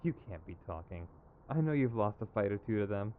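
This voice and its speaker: A man's voice: lowish voice, animated, a little fast, phone-quality sound, close to an everyday voice. A man playing an exasperated but principled, protective villain in an audio roleplay, with a sardonic, emphatic, conversational delivery. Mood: exasperated, casual. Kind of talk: ASMR roleplay acting. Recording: clear background noise.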